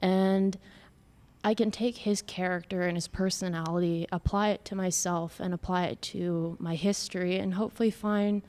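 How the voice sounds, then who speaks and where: A young woman, a graduating student, talking earnestly and quickly in a casual interview. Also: on the low side, fairly even intonation, a little mellow.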